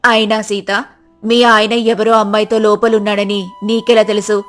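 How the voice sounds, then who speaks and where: Concerned neighbour woman for a village tale, played by a woman: lowered pitch, insistent questioning, faint music. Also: flat delivery, normal speed, a bit bright, natural voice. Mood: doubtful.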